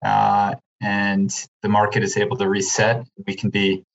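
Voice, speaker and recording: A man's voice: on the low side, monotone, unhurried, vocal fry. A man answering as an investor guest in an unscripted panel interview, on slightly muffled audio.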